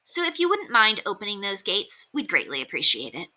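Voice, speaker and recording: A woman voicing a calm, bold young girl rebel in a storybook, polite and matter-of-fact. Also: medium pitch, a little fast, exaggerated voice. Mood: coolly confident.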